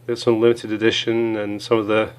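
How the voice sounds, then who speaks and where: A hoarse man with a cold, chatting casually as he reviews small gear items, with many fillers. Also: lowish voice, completely flat delivery, quick pace.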